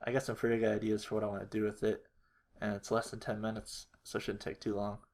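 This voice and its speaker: A man's voice: fairly low pitch, completely flat delivery, fast, darkish tone. Casual, hesitant man thinking aloud. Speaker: animator, video creator. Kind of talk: casual screen-recorded tutorial narration.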